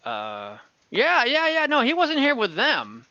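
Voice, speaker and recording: A man voicing a gruff, laconic old male bartender who trades gossip in clipped, hesitant bits. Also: very high voice, huge pitch swings, measured pace, bright, forceful tone, no room sound, voice pushed up, full character voice. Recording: dull dry lo-fi audio.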